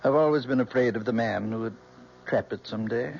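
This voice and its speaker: A man's voice: mid-range voice, vocal fry, darkish tone, lightly acted. Actor as genteel aging father, muffled radio. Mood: tender, wistful. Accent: American. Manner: genteel, wistful, measured. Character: possessive, doting, scholarly aging father. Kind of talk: old-time radio drama acting. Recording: muffled, noisy old radio recording.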